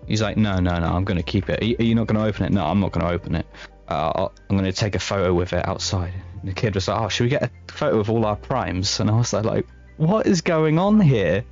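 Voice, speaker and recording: A man's voice: on the low side, animated, fast talker, a little mellow, muffled recording, faint music behind. A man co-hosting a casual podcast, rambling and hesitant, with plenty of fillers. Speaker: podcast co-host. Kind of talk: casual chat.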